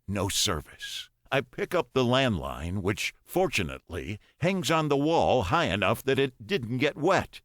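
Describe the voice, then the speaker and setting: Guy narrating an audiobook, slow and deliberate, really animated pitch, dry close sound. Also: no room sound. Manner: deliberate, expressive, with pauses between phrases. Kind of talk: dramatic first-person story narration.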